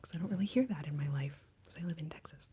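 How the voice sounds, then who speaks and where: An American woman in her late thirties whispering in a breathy voice, chatting casually and playfully as an ASMR live streamer. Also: deep voice, fast talker, warm tone, slight background noise. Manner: playful, self-deprecating. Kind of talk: whispered ASMR, casual rambling chat with viewers.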